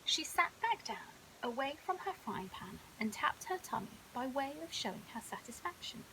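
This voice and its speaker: A woman's voice: high voice, sing-song, moderate pace, pushed and bright, whispered, low-quality recording. A woman narrating a children's bedtime story expressively, like a primary school teacher reading aloud. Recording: hissy background noise.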